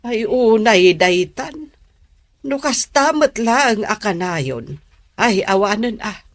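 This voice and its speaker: A woman's voice: medium pitch, lively intonation, slow pace, no echo. An actress playing a concerned, exasperated middle-aged mother in a Filipino radio drama, lamenting and chiding with a Filipino accent. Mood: worried, chiding. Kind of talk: Philippine radio drama acting.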